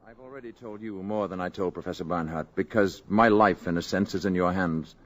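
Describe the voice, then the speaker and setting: An actor playing a calm, grave alien visitor in human guise, measured and solemn, in a 1950s radio drama. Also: male voice, sits low, faint music behind. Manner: measured, solemn, urgent at times. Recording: old radio broadcast recording.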